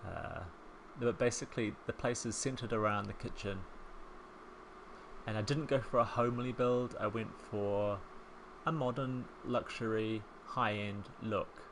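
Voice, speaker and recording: Chatty man with a soft New Zealand accent. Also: fairly low pitch, fairly even intonation, measured pace, noisy background. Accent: soft New Zealand, softened by years in England. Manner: chatty, rambling, self-deprecating, with frequent ums and small laughs. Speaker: Sims speed-build creator. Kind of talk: casual voice-over commentary on a game build.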